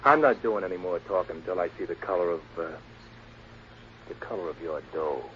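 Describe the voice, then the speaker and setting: An actor playing a sly, greedy private detective, cagey and drawling, in a 1940s radio drama. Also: male voice, sits low, a little fast, close to an everyday voice. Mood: cagey, bargaining. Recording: muffled, noisy 1940s radio recording.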